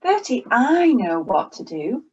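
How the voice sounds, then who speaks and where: A woman's voice: on the high side, big pitch swings, measured pace, slightly rough, highs cut off, voice pushed up, heavily acted. A woman voicing a kind, eager young boy in a children's storybook, in an eager, helpful voice.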